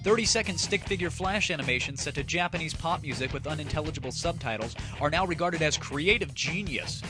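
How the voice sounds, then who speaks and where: A man's voice: fairly high pitch, expressive, fairly quick. A man hosting an online gaming comedy show, delivering a scripted, crude and sarcastic rant in a theatrical, mock-grandiose way. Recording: music under the voice. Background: music.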